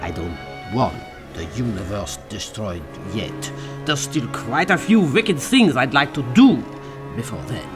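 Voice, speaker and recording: A man's voice: medium pitch, sing-song, unhurried, over music. An actor playing a gleefully sadistic anarchist in a radio play, gloating as he relishes each word. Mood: sly relish.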